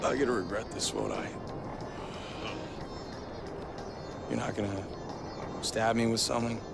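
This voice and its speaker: A man playing a weary, reluctant young video game hero. He speaks in a dry, understated, medium-pitched voice with very loud music behind him. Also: steady tone, brisk, gravelly, lightly acted. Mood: uneasy. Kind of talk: video game cutscene acting.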